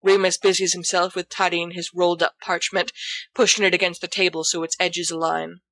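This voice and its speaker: A woman's voice: on the low side, flat delivery, a little fast, fairly bright tone, dry recording. A woman reading scripted fiction as the narrator of an audiobook. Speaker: volunteer audiobook reader.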